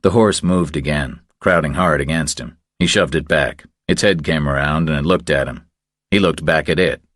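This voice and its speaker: A man's voice: rumbling low, normal speed, a bit bright, dry recording, clean studio sound. A man reading a thriller audiobook as a scripted narration.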